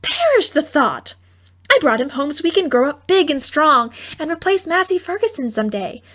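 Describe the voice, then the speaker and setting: An eager little farm girl from a comic fairy tale audiobook, acted out by a woman narrator. Her voice sits high, and she speaks in an excitable, pleading way. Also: moderate pace. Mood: whiny pleading.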